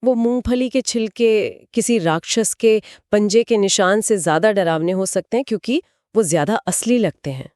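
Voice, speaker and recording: A woman's voice: medium pitch, normal speed, hardly any pauses, darkish tone, no room sound. A woman with a mid-range voice co-hosts a podcast conversation. She reacts to the story and breaks down what makes it frightening, sounding close and dry. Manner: analytical, reacting and explaining the story's psychology. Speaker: podcast co-host.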